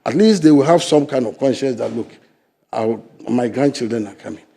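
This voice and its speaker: Older ghanaian guy, ghanaian english accent, gravelly and dark, punches words then drops low. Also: lively intonation, a little fast. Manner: emphatic and argumentative, swinging between loud stresses and low asides. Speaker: fisheries sector guest. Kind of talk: studio interview.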